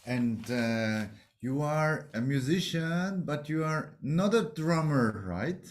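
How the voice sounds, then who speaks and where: Male interviewer, unhurried and halting, casual and conversational, roomy echo on the recording. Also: a little high, animated. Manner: halting, searching for words. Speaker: host. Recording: echoey room sound.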